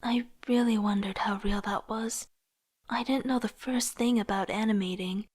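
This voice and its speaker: A young woman playing an aspiring artist, narrating her own story in a soft, introspective, wistful way. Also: mid-range voice, steady tone, moderate pace, close to an everyday voice. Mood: self-doubting. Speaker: narrator and lead character. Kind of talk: reflective first-person narration with dialogue.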